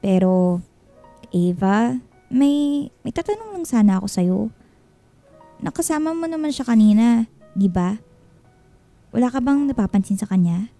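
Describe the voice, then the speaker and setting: A woman's voice: fairly high pitch, sing-song, a little slow, pauses often, warm tone, no room sound, soft background music. A woman playing a bossy, blunt, gossipy young friend with a Filipino accent in a radio drama. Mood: coy, exasperated.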